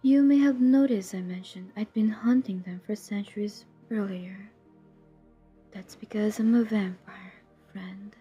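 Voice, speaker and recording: A woman as a husky, breathy ancient vampire huntress. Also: medium pitch, fairly even intonation, mellow, dull audio, soft background music. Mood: proud, ominous. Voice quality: breathy, husky, half-whispered. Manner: deliberate, ominous, drily teasing. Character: centuries-old female vampire hunting vampires, cold, menacing, wry. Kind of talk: intimate scripted audio roleplay.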